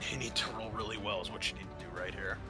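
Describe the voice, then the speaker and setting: A man acting as game master, narrating and refereeing a horror roleplaying game in a halting way with stretched, dramatic emphasis. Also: on the low side, fairly even intonation, pushed and bright. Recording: background music under the voice.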